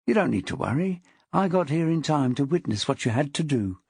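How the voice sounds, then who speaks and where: A woman voicing a menacing, obsessive, jealous husband in an audiobook, crooning and hissing with drawn-out words. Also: deep voice, sing-song, vocal fry, darkish tone, husky, dry recording, studio quality, full character voice.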